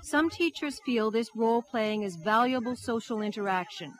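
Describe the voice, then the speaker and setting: A woman reporter delivers scripted documentary narration for television news. Her pitch sits in the middle range, and her delivery is measured and built like a dramatic story. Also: animated.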